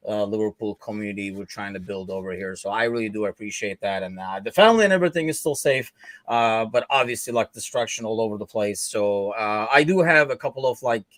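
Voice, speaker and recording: A man's voice: lowish voice, a little fast, a bit bright, no room sound. A man from Turkey hosting a live football podcast, talking loosely with lots of fillers. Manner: loose and chatty, many fillers. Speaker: podcast host. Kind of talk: casual podcast chat. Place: Chicago.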